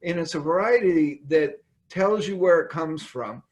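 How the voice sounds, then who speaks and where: A man's voice: a little high, unhurried. An older man from California, a winemaker talking casually over a video call from his home wine cellar, rambling with many ums and uhs.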